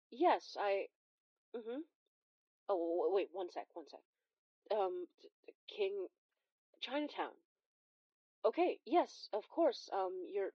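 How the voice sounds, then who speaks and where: A woman's voice: mid-range voice, no echo, highs cut off. An actress playing a young Filipino immigrant woman who recounts horrors in a conversational, hesitant, wry way for an audio drama. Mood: flustered. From Philippines. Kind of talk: audio drama first-person confessional narration.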